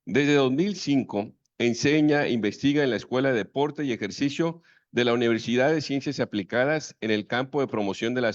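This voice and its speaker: A man moderating a session, reading out a speaker's biography in a formal, measured way. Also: medium pitch, no echo. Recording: dull dry sound.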